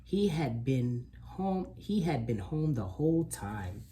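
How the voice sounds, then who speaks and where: A reader as a sly, animated storybook wolf. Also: mid-range voice, takes it slowly, slight background noise. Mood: mock innocent. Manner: animated, mock-innocent storytelling. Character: sly, self-excusing wolf telling his own story. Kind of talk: children's picture book read aloud.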